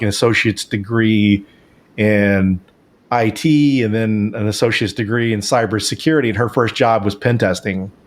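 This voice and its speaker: Low-voiced male podcast host. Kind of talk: conversational interview hosting.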